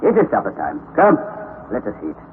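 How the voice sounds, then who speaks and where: A man playing a determined, learned older uncle who leads an expedition in an old radio drama, with a brisk, authoritative manner. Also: fairly high pitch, huge pitch swings. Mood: resolute. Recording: tinny, noisy old radio recording.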